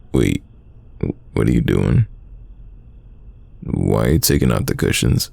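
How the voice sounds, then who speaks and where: A man acting a teasing, stubborn young friend in an intimate roleplay audio drama, with a very deep, gravelly voice and a casual delivery full of drawn-out sighs. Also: warm tone, no echo, exaggerated voice. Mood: exasperated teasing.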